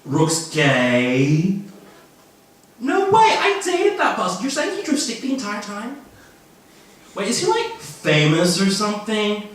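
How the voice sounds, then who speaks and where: A dim, gushing university gossip, played by a man reading a story live. The voice is high and swoops with exaggerated disbelief. It sounds distant in a very reverberant room. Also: wildly swooping pitch, slow pace, fairly bright tone, full character voice. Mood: gushing, incredulous. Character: gossipy, shocked, dim university students trading rumours. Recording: echoey room, distant mic, faint noise.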